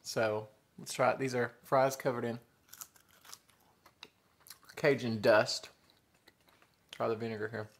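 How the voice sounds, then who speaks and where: A man's voice: medium pitch, little pitch movement, slow pace, creaky, pauses often. A man in his thirties with a Southern US accent, chatting to viewers between bites of food in a living room. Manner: chatty, addresses viewers as y'all, chews between remarks. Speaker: mukbang vlogger. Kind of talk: casual eating show chat. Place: living room with sofa and window blinds. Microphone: camera in front at table distance.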